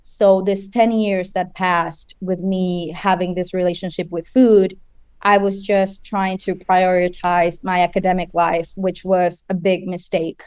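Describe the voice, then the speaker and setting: A woman in her twenties from Chile, a guest in an interview, speaking earnestly with halting restarts. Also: on the low side, little pitch movement, a little mellow, clear, resonant tone, no echo. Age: 20s.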